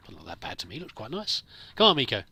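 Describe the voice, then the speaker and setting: Bright-voiced man doing game commentary. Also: medium pitch, huge pitch swings, quick pace. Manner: musing, thinking aloud. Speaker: gamer, let's play host. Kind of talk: casual improvised roleplay game commentary.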